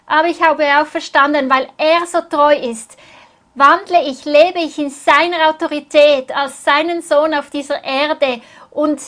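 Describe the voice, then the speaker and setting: A woman's voice: high voice, fairly even intonation, a little slow, fairly bright tone. A woman interpreting a sermon phrase by phrase, speaking earnestly and closely following the preacher.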